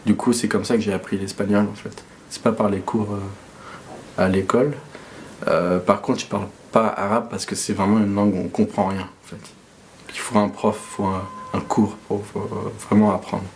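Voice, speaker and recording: A man's voice: fairly low pitch, little pitch movement, moderate pace, a little hiss or hum. A young man from France, talking casually and reflectively as an interviewee, hesitant with many fillers and restarts.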